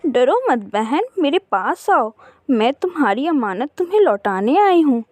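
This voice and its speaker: A woman's voice: high-pitched, sing-song, measured pace, no room sound. A woman voicing a big female bird of prey in a cartoon story, bossy and scolding yet motherly. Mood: gentle, reassuring.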